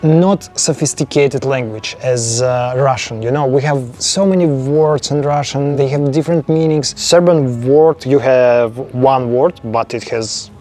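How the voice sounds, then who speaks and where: A man's voice: mid-range voice, a little slow, few pauses, a little mellow. A man interviewing a guest in a casual talk, speaking non-native English and asking short questions.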